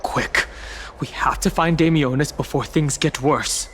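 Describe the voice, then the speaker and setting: A man's voice: on the high side, a little slow, a bit bright, slight background noise. An actor playing an earnest, concerned young male adventurer in a video game. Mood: worried.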